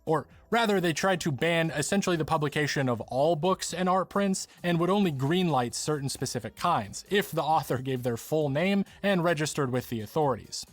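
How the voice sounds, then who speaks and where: Higher-voiced man narrating over music. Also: dry recording. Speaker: video essay narrator. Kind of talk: scripted video essay narration.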